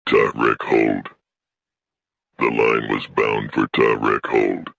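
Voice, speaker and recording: Man voicing slow, gravelly old alien elder. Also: rumbling low, completely flat delivery, a bit bright, no room sound, muffled recording, full character voice. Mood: solemn. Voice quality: gravelly, creaking. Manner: slow, solemn, formal declarations. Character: old dying alien Vask, solemn, stern, weary male elder. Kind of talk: dramatised science fiction audio story.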